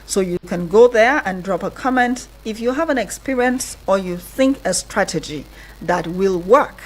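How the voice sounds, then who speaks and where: A woman radio host with a Nigerian accent presents an interview show in two languages. Her voice sits at a medium pitch as she introduces and questions her guest. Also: expressive, normal speed, rough recording. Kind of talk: bilingual radio interview hosting.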